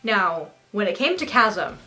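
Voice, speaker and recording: A young woman with a slight lisp reviewing a goth album in a casual, bubbly and dramatic way, a little sniffly and rough from allergies. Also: mid-range voice, measured pace, soft background music, rough recording. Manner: bubbly and dramatic, squeals with excitement.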